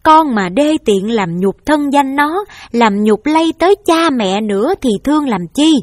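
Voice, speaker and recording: A woman's voice: sits high, lively intonation, a little slow, dry recording, studio quality, voice pushed up. A woman reading the part of a stern middle-aged father, a district official scolding his family. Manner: stern, reproachful.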